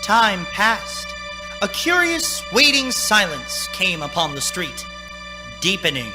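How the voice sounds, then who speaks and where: A man's voice: sits high, huge pitch swings, takes it slowly, over music, heavily acted. A man acting as a suspenseful, theatrical storyteller narrating a radio thriller. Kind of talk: dramatic scripted narration.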